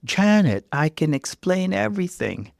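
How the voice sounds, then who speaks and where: Male narrator as cheating husband, male, flustered, imploring, higher-pitched, storytelling, dry recording. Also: very animated, measured pace, creaky, no echo, full character voice. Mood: panicked. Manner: stammering, pleading.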